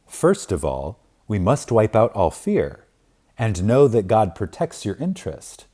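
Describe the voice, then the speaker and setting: A man reading a spiritual self-help book aloud as an audiobook, in a reverent, emphatic manner with long deliberate pauses. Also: fairly low pitch, dark tone. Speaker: audiobook reader.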